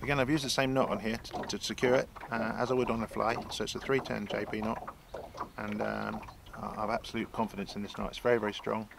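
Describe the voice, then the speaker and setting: A man's voice: lowish voice, little pitch movement, fast talker, creaky. A man in his sixties, a fishing guide, explaining his tackle setup in a chatty way from a small boat on a lake. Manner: chatty, explanatory, many restarts. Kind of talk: outdoor how-to demonstration. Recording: outdoor wind and water noise.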